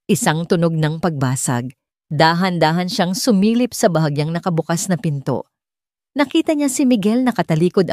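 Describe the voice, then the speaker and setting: A woman narrating a scripted dramatic story in a measured, earnest storytelling manner. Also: low-pitched, a little mellow, dry recording, studio quality.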